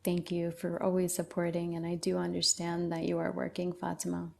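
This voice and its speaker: A woman's voice: lowish voice, completely flat delivery, brisk, creaky, a little hiss or hum. A woman hosting a casual livestream, reading out viewer comments and answering them one by one. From Lebanon. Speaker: livestream host.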